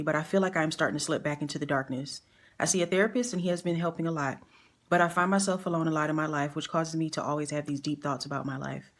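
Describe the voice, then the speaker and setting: Female reader, personal-letter delivery, rapid pace. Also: sits low.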